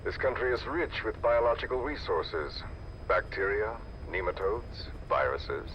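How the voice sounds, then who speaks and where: Noisy tape of a shadowy scheming operative with a low voice. He speaks deliberately, brooding and insinuating, probing as he goes, as in a video game cassette drama. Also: big pitch swings, fairly bright tone. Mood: brooding, persuasive.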